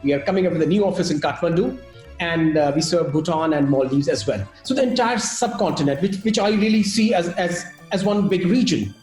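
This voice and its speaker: A man's voice: a little high, fairly quick, a little mellow, echoey room, faint music behind. A man who founded a travel company talks eagerly on a video call and fills his speech with "you know". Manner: enthusiastic, many fillers.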